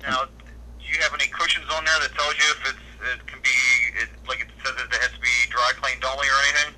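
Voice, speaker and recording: A very bright, forceful American man speaks over a telephone line at a quick clip. He sounds like a service worker, patient and matter-of-fact, giving casual step-by-step advice in a mid-pitched voice. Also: flat delivery. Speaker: cleaning service phone worker. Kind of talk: casual practical phone advice.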